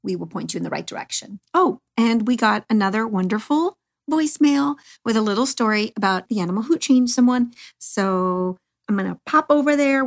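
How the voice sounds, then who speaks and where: A woman hosting a podcast, talking in a chatty, gushing, informal way straight to listeners. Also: medium pitch. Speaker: podcast host. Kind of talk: podcast intro, chatty monologue.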